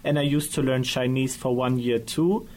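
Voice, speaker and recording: A teenage boy from Germany introducing himself in a relaxed, slightly wry way. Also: medium pitch, moderate pace, slight background noise. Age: teens. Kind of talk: self-introduction.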